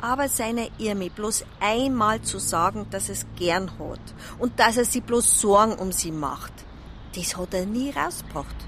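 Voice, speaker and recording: An actress playing a gossipy, plain-spoken village newsagent in a radio drama, speaking in a folksy Bavarian accent. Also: female voice, medium pitch, lively intonation, a little slow, fairly bright tone, a little hiss or hum. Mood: confiding. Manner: chatty, folksy. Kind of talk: naturalistic radio drama dialogue.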